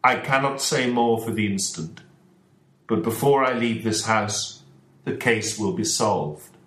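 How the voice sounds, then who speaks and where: A man reading an audiobook voices a calm, reserved detective in his own measured voice. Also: on the low side. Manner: measured, grave. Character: calm older male detective, reserved and precise. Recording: roomy echo.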